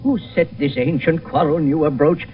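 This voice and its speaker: A man's voice: a little high, fairly even intonation, normal speed, phone-quality sound, natural voice. Actor as a worried noble father, thin old recording. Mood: worried, grave. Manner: declamatory verse delivery. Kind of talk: 1970s radio Shakespeare acting. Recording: thin, hissy old radio recording, distant.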